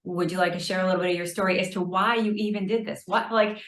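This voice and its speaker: A woman hosts a conversation and asks her guest direct, probing questions. Her voice is on the low side for a woman, and the room around her rings with noticeable echo. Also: fairly even intonation, fast, fairly bright tone. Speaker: host. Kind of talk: conversational interview. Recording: roomy echo.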